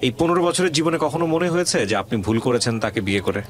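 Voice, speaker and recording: A man with a Bangladeshi accent hosting a radio interview, asking questions and making reflective, emphatic remarks in a deliberate way. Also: mid-range voice, lively intonation, a little hiss or hum. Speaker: radio show host and interviewer.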